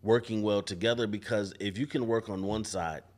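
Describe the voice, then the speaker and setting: Low-voiced man thinking aloud on a podcast. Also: fairly even intonation, normal speed, darkish tone. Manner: thoughtful, stammering restarts. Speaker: barber and podcast guest. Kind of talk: casual panel discussion. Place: barbershop event space, indoors. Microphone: podcast mic on boom arm.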